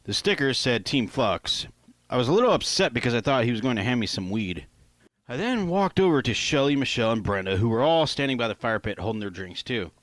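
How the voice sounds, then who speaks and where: A man's voice: mid-range voice, very animated, fairly quick, a bit bright, no echo. A man reading his own first-person novel aloud in a plain, informal amateur narration style.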